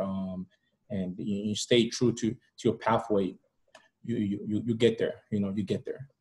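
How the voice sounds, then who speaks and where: A man from Rwanda with a fairly low voice speaks as a guest in a casual interview. He tells his story haltingly, repeating words and dropping in "you know" as he goes. It is recorded over a video call. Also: darkish tone. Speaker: podcast guest. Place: indoor room at home, video call.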